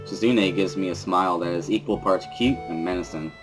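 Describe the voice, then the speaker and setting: A man's voice: deep voice, fairly quick, over music. A man reading first-person scripted narration from a visual novel as a dramatic reading.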